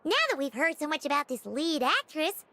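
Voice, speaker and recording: Childlike game mascot, very high squeaky pitch, animated delivery, excitable, exclamatory. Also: female voice, a little fast, background noise. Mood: excited. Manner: speaks of self in third person, exclamatory. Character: tiny childlike flying companion girl, excitable and nosy. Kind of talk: animated video game voice acting.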